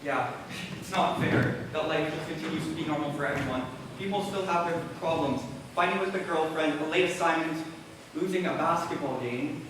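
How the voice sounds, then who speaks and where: A man's voice: on the high side, normal speed, close to an everyday voice. A young male student actor playing a grieving, guarded teenage boy in a school stage play. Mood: weary, grieving. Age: teenager or young adult. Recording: echoey noisy stage, distant mic.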